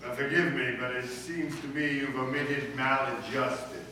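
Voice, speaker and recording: An actor playing a pompous, blustering middle-aged school principal in sarcastically formal radio comedy style. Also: male voice, medium pitch, steady tone, unhurried, bright, forceful tone. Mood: icily formal. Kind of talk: old-time radio comedy acting. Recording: echoey room from far off, faint noise.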